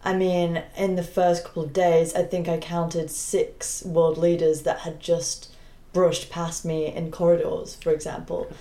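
A woman reporter talks casually, sharing impressions as a panelist. Her voice sits a little low and is soft-edged and mellow. The room echoes clearly around her words. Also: flat delivery, unhurried. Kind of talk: casual chat, recounting impressions. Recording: echoey, roomy sound.

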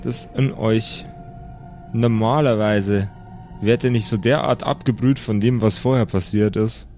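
A man's voice: lowish voice, fairly even intonation, a little slow, a little mellow. Male game master narrating over music. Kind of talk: improvised narration of a tabletop horror adventure. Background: background music.

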